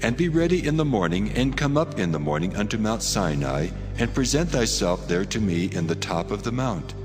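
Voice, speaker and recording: A man's voice: fairly low pitch, sing-song, normal speed. A man voicing a solemn, commanding God in a dramatized scripture reading, declaiming his words. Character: the Lord God, solemn commanding male deity. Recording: long cavernous reverb, music bed. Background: music.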